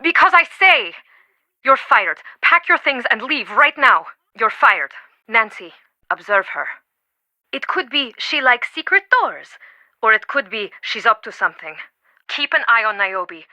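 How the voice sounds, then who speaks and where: Bright, passionate museum curator. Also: female voice, on the high side, big pitch swings, a little slow, no echo, exaggerated voice, rough recording. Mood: outraged, then suspicious. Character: earnest, passionate woman museum curator, devoted and easily affronted. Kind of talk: video game voice acting.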